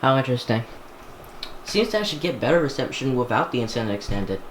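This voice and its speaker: Male hobbyist vlogger, unscripted and halting, half talking to himself, roomy sound with noticeable background noise. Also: medium pitch, sing-song. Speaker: hobbyist vlogger unboxing gadgets. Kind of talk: casual unscripted chat while handling a device. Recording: echoey room, clear background noise.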